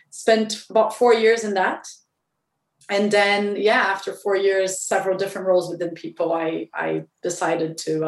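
Brief: low-voiced woman from Brazil, company president interviewed, steady and reflective, a bit far off in a roomy space. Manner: measured, thinking aloud with restarts and fillers. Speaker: company president, podcast guest. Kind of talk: business interview.

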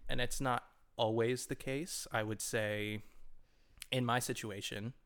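A man in his mid-twenties with a smooth, resonant voice co-hosts a podcast. He talks at an even middle pitch in a relaxed, reflective way, stringing his thoughts into long hedged sentences. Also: measured pace. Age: 20s. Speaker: podcast co-host. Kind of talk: casual chat.

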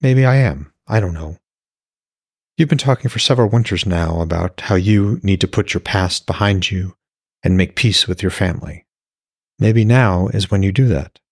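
Man voicing a gentle, earnest young woman. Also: low-pitched, normal speed, husky, dry recording, clean studio sound. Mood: firm, earnest. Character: gentle young woman, loving, sad yet resolute. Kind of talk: fantasy audiobook character dialogue.

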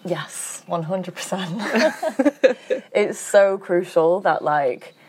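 A woman's voice: lowish voice, animated, extremely slow, darkish tone, husky. A woman grower answering interview questions in a chatty, easygoing way, with lots of fillers and easy laughter. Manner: chatty, full of "like", laughs easily. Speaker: farm grower.

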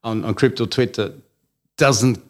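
A man's voice: medium pitch, a little slow. A man from Austria in a casual discussion, thinking aloud at length with frequent restarts.

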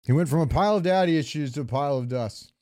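A man talks as a podcast co-host in a casual, opinionated style. His voice is smooth and clear at a middling pitch, recorded very dry. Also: fast talker, dark tone, dry recording. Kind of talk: casual chat.

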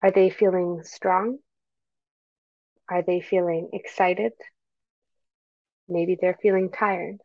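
A woman leading a guided meditation with gentle, soothing, measured phrasing and pauses between instructions. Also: mid-range voice, no echo, highs cut off.

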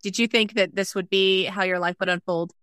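A woman's voice: medium pitch, steady tone, fairly quick, fairly bright tone, no room sound, clean studio sound. A woman hosting a podcast, asking questions in a casual, chatty interview style. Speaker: podcast host.

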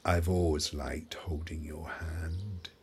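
An actor playing an elderly, forgetful father in an audio play, confused and wistful, halting and searching for his words. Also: very deep voice, steady tone, breathy edge, exaggerated voice. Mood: bewildered. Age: older. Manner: halting, searching, repeats himself.

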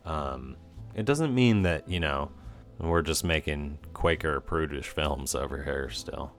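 A young man co-hosting a casual film podcast, speaking haltingly with lots of fillers. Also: extremely low pitch, vocal fry. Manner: halting, filler-heavy, chuckling. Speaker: podcast co-host. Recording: faint music behind.

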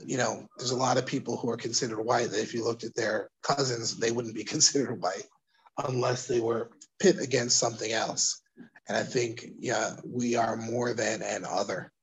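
A man from Brooklyn with a New York accent, speaking thoughtfully as an interview guest, pausing and restarting as he works through his ideas. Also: mid-range voice, steady tone, rough recording. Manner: thoughtful, hesitant, with restarts and soft laughs. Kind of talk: casual interview conversation.

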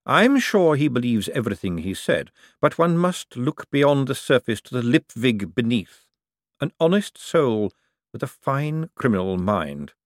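A man voicing a cool, calculating older ruler who speaks dry, precise and deliberate in an audiobook. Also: mid-range voice, sing-song, no echo, voice pushed up. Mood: dry, knowing.

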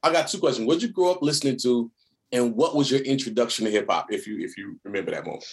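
A man hosting a casual podcast, asking questions in a lively, playful way. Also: medium pitch, fast. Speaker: podcast host.

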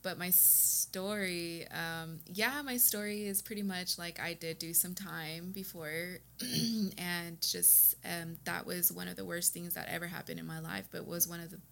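A woman's voice: fairly low pitch, a little slow, creaky, sharp tone, slight background noise. An American woman from Texas talking casually and earnestly as an interview guest, telling her personal story in informal, emphatic language. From Texas, United States. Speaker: podcast guest, beauty business owner and educator.

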